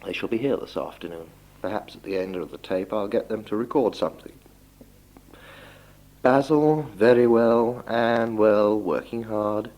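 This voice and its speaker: A young man speaking a halting, deliberate, politely phrased spoken letter on an old 1960s home tape recording. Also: fairly low pitch, darkish tone, rough recording. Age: young adult. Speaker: doctor recording a message for relatives. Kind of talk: spoken letter, informal monologue.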